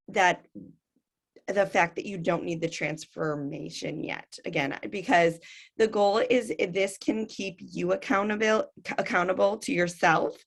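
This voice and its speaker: A woman fitness coach with a raspy voice, talking casually on a webinar with lots of fillers. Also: medium pitch, fairly bright tone. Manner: chatty, frequent fillers.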